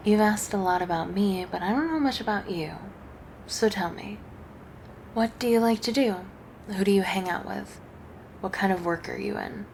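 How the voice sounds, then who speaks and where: A woman voicing a friendly, playful and bashful succubus in a casual, chatty, gently teasing ASMR roleplay addressed to the listener. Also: mid-range voice, creaky, a little hiss or hum, low-quality recording. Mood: playful, curious.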